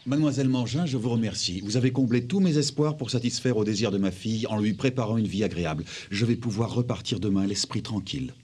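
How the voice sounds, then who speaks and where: A man voicing a loving gentleman father in a dubbed cartoon, formal and affectionate. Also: on the low side, fast, few pauses, slightly rough. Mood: courteous. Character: loving, courteous gentleman father of a little girl.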